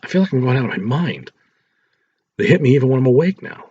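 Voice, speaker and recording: A man's voice: medium pitch, very animated, fast talker, mellow, breathy edge, pitched above the performer's own voice. A man voicing a troubled, edgy teenage boy, sheepish and weary, in a read-aloud story. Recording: roomy echo, dull lo-fi sound.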